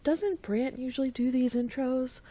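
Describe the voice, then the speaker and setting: A woman's voice: high voice, moderate pace, warm tone. An actress playing a sneaky, chatty woman secretly introducing a radio play in a conspiratorial, playful manner. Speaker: show introducer.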